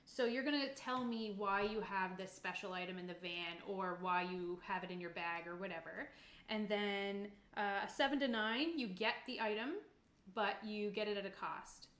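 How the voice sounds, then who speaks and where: A woman's voice: medium pitch, moderate pace, fairly bright tone. Female game master. Casual, apologetic rules talk, recorded in a reverberant room. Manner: informal, apologetic, easygoing. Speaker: game master running a tabletop roleplaying game. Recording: roomy, echoing room sound.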